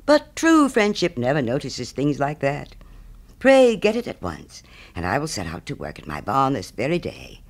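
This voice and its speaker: A man's voice: mid-range voice, huge pitch swings, moderate pace, creaky. A man voicing a smug, selfish miller who preaches friendship in a pompous, moralizing way, as a storybook character. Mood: smugly grasping. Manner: pompous, self-righteous, moralizing.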